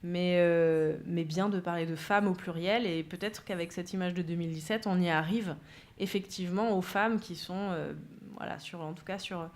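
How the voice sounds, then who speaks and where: A woman speaks at a conference with a somewhat low voice. She talks through her points with frequent fillers and restarts. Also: normal speed. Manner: hesitant, many fillers. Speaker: speaker from a gender equality centre. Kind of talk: conference talk. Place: conference room.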